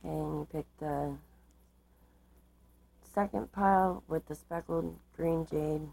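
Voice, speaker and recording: A woman giving a tarot card reading, reading aloud and explaining in a measured, halting way, her voice slightly starting to give out. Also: deep voice, steady tone, creaky, dark tone, low-quality recording. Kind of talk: tarot reading, part read aloud from cards, part informal explanation.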